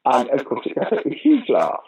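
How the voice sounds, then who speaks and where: A man's voice: medium pitch, moderate pace, lo-fi sound, background noise. An older British man, a pantomime dame actor, telling stage stories in a casual interview. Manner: storytelling, animated anecdotes.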